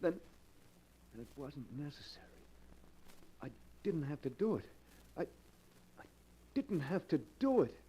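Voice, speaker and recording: A stammering guilt-ridden husband. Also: male voice, fairly high pitch, very animated, a little slow, pauses often, mellow, slight background noise, poor audio. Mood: frantic. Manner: nervous, stammering, pleading. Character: desperate guilt-ridden middle-aged man. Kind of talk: 1940s radio acting.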